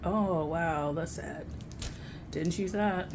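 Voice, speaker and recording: Low-voiced young American woman, casual vlogging. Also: takes it slowly, gravelly, noisy background. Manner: candid, informal, slangy. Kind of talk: casual grocery haul chat to camera.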